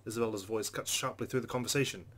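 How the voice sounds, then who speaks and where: A man's voice: lowish voice, very fast, fairly bright tone, a little hiss or hum. A man hosting a gameplay video, reading visual novel narration expressively and adding casual asides. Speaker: let's play host reading a visual novel aloud.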